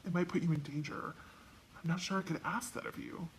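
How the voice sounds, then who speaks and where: A woman voicing a kindly, troubled king and father in measured, weighty storybook dialogue. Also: on the low side, steady tone, slight background noise, natural voice, low-quality recording. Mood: cautious.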